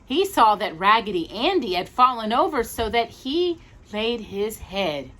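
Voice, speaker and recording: A woman reads a children's storybook aloud in a bright, very animated voice. She takes her time with an unhurried pace, adding expressive, cooing asides for young listeners as a storytime host. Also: a little high.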